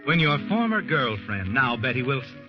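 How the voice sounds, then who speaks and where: A man acting an all-knowing narrator in a 1940s radio mystery, taunting and accusing. His lively, swinging delivery sits over loud music on a muffled recording. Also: mid-range voice, normal speed, natural voice. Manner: insinuating, accusing. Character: knowing, taunting narrator addressing the doomed schemer.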